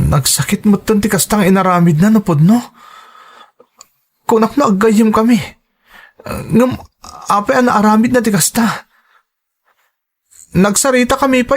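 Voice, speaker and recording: Guy acting a betrayed young son, high voice, emotional and reproachful, audio drama melodrama. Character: hurt, indignant young son betrayed by his friend.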